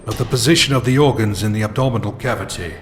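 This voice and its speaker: A man's voice: on the low side, quick pace, close to an everyday voice. An actor as a formal, clinical male expert. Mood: clinical, grave. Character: formal male expert witness describing mutilation. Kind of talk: radio horror drama acting. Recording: music over the voice.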